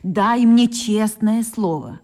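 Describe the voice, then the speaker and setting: A woman's voice: medium pitch, slow pace, dark tone, lightly acted. An actress voicing a worried, strict mother in a children's radio play, speaking in an insistent, anxious way. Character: worried, strict mother questioning her daughter.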